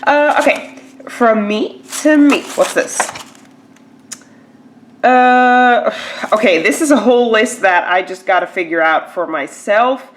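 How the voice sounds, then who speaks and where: A woman's voice: fairly high pitch, lively intonation, takes it slowly, pauses often, slight background noise. A woman with a stuffy nose from a cold, chatting casually to camera as she unboxes a beauty box, with lots of "like" and "um". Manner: chatty, filler-heavy, lots of "like" and "um".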